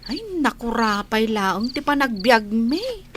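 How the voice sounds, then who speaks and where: Young maid played by a woman, humble and chatty, unhurried, swooping intonation, radio-play style. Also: fairly high pitch, very animated, slight background noise. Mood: humble, wistful. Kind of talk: audio drama acting in dialogue.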